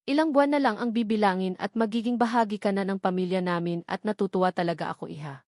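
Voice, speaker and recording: A woman's voice: medium pitch, fast talker, darkish tone, no echo, studio quality, natural voice. A woman voicing a cheerful old grandfather in a novel, affectionate and hospitable. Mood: delighted.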